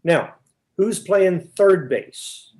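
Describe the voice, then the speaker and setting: A performer acts out a confused, increasingly exasperated questioner in a stage comedy double act. The voice sits at a middle pitch and pushes insistently through each question. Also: animated, very slow, darkish tone. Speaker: amateur readers theater performer. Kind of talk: staged comic dialogue reading.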